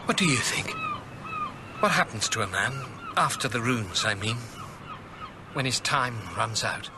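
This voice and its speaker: An actor playing an anxious, hunted British gentleman in a radio drama, nervous and hesitant, often muttering his thoughts under his breath. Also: medium pitch, animated, unhurried. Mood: shaken. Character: anxious, hunted gentleman steeling himself in secret. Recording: radio drama with clear background noise.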